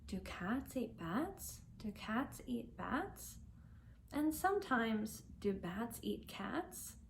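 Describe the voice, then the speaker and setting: A woman's voice: on the high side, sing-song, extremely slow, creaky, slight background noise, heavily acted. A woman voicing a curious, chatty little girl who wonders aloud to herself in an earnest way, in a storybook reading. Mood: sleepy, dreamy.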